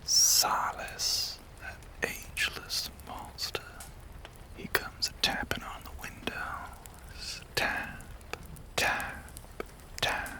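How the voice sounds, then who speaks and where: Guy acting as an ageless southern gentleman vampire, whispery and menacing, super slow theatrical drawl for audio roleplay. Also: heavily acted. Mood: ominous storytelling. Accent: American South. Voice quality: breathy, mostly whispered. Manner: slow, theatrical, genteel phrasing with long pauses.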